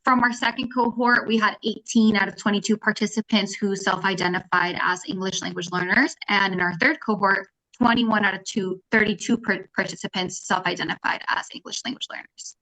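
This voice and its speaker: A woman's voice: medium pitch, steady tone, brisk, hardly any pauses, pushed and bright, low-quality recording. A woman apprenticeship specialist giving an organized, informative overview on a webinar panel. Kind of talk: panel presentation.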